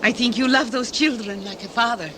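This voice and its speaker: An actress playing a devoted, worried wife in a radio play, speaking in an earnest, pleading way. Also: female voice, on the high side, brisk, close to an everyday voice. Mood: pleading, sad. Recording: noisy ambient effects behind.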